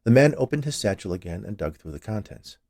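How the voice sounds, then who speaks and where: A man's voice: on the low side, sing-song, quick pace, a little mellow, no echo, studio quality. A man reading scripted fiction aloud as a storyteller. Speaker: story reader.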